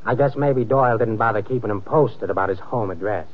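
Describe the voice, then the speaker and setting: An actor playing a menacing, sarcastic gangster boss with mocking, slangy talk in an old-time radio crime drama. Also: male voice, on the low side, brisk, lightly acted. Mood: mocking menace. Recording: muffled, noisy old radio recording.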